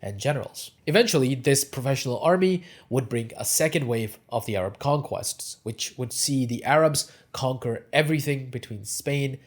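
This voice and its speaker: A man's voice: medium pitch, moderate pace, few pauses. A man whose first language is Urdu, narrating a scripted history lesson. He stresses transition words and lets his sentence ends fall. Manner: lively emphasis on transitions, falling at sentence ends.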